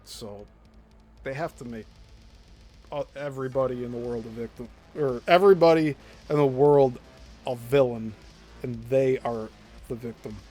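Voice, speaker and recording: A man's voice: medium pitch, normal speed, long pauses, a little mellow, faint music behind. A man with a Midwestern accent hosting a live stream, commenting bluntly and with exasperation on clips he plays. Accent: Midwestern American. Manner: blunt, exasperated, laughs often. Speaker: show host.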